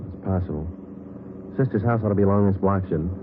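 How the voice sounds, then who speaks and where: Man acting deadpan police sergeant, American accent, low voice, quick clipped delivery, terse, unflappable, old-time radio drama, narrow tinny recording. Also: background noise, natural voice. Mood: calm, low-key. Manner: clipped, deadpan, matter-of-fact. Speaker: actor playing lead police detective and narrator. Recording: band-limited old radio recording with music.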